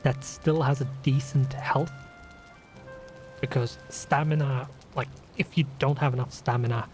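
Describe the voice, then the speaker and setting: A man with a Scandinavian accent, sniffly from a runny nose, talking slowly through a game he plays. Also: medium pitch, fairly even intonation, darkish tone, soft background music. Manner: slow, deliberate, with restarts and fillers. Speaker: gaming channel host. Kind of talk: casual let's play commentary.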